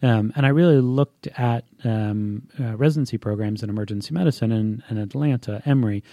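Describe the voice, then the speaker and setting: A man's voice: mid-range voice, brisk, warm tone, no room sound, studio quality. A man in his forties from Hawaii, a founder and doctor, answering interview questions in an easygoing, lightly joking way. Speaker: guest, company founder and physician.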